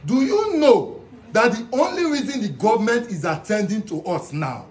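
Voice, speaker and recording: A man with a Nigerian accent makes his case in an interview, insistent and persuasive. His voice sits high for a man. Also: sing-song, moderate pace. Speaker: protester interviewed. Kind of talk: street interview.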